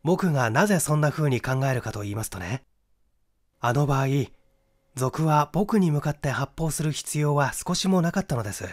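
Man playing a lively, confident young amateur detective. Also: on the high side, normal speed. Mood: methodical, self-assured. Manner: explanatory, methodical, rhetorical questioning. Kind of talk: Japanese mystery audiobook acting.

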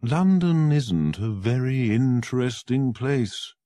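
A man voicing a self-assured male detective in a crisp, confident manner. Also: mid-range voice, lively intonation, slow pace, dark tone, no room sound, studio quality, pitched above the performer's own voice. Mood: brisk. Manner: crisp, confident, slightly lifted.

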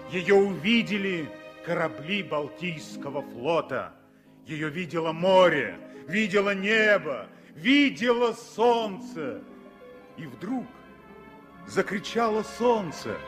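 A man narrates a 1960s Soviet radio play with solemn, poetic declamation. His voice is slightly high and unhurried. Music plays clearly behind him.

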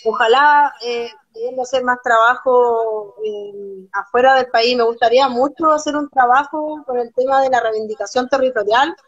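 A young woman from Chile speaks with a Chilean accent, earnest and fast, circling back to restart her sentences. Her voice sits a little high. The sound is dull and lo-fi, as from a phone camera on a video call. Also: slightly rough. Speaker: guest, documentary photographer. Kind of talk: casual live-stream interview. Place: small room at home, indoors.

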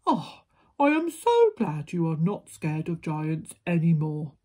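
Storybook giant, male played by a woman: enormous, booming, lonely, gentle-hearted, slightly husky. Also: sing-song, slow, heavily acted. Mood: glad. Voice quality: big, booming. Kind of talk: children's storybook character voice.

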